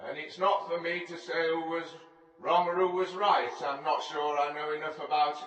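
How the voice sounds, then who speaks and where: A man's voice: on the high side, little pitch movement, normal speed, a bit bright, recorded from a distance, lightly acted. An actor playing a lonely, grieving, stubborn man with a northern English accent in a radio play. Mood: grieving, subdued. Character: lonely, grieving, stubborn Lancashire man making a public stand. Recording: echoey hall, music behind, off mic.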